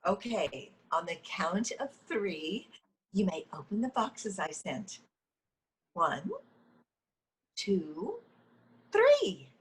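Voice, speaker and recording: A woman's voice: medium pitch, very animated, very slow, pauses often, low-quality recording. Woman acting earnest female group leader, easily exasperated, preachy, comedy table read, video call, faint background noise.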